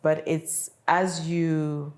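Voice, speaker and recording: A woman podcast host from Kenya with a low voice. She talks reflectively and conversationally, feeling her way through each thought and often checking that the listener follows. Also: level tone, very slow, darkish tone.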